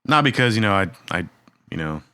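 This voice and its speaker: A man's voice: lowish voice, very animated, a little fast, sharp tone, smooth, dry recording. A man co-hosting a podcast, chatting casually and jokingly, with rambling stories. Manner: laid-back, rambling, joking. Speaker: podcast co-host. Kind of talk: casual chat.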